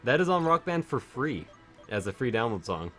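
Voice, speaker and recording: A man's voice: mid-range voice, sing-song, normal speed, pushed and bright, soft background music. A Canadian man chatting casually and joking while streaming a video game, swearing now and then. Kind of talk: casual chat while gaming.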